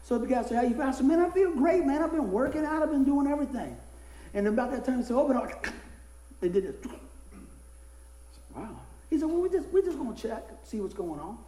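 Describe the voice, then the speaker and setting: Hoarse middle-aged male pastor, folksy sermon, mellow tone, echoey church room. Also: brisk, slight background noise. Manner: folksy, animated storytelling with rising pitch in anecdotes. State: hoarse, losing his voice. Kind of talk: casual sermon.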